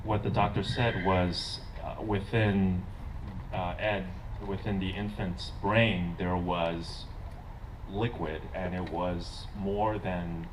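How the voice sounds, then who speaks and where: A man's voice: low-pitched, steady tone, takes it slowly. A young man interpreting his mother's wedding speech into English, hesitant and searching for words. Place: outdoor garden wedding. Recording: distant mic, noisy outdoors.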